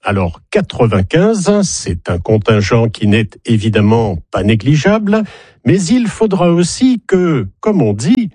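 A man's voice: medium pitch, a little mellow, husky, dry recording, studio quality. A man presenting a regional radio news bulletin in a scripted reading style, with wry, ironic asides.

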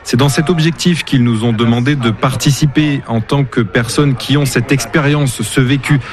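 A man reading a calm, plain voice-over translation in a news report. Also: mid-range voice, moderate pace, soft background music. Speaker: voice-over interpreter.

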